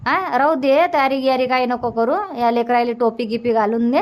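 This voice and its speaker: Casting: woman as older village mother-in-law, cartoon, high-pitched, bossy, Varhadi accent, always instructing. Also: level tone, lightly acted. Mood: bossy, urging. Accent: Varhadi Marathi from Vidarbha. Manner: brisk, instructing, repetitive. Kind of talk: cartoon comedy dialogue.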